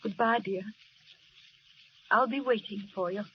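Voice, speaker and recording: An actress playing a gentle, earnest, devoted nurse in love in a 1940s radio war drama. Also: female voice, mid-range voice, unhurried, natural voice. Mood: tender. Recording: dull, hissy vintage radio recording.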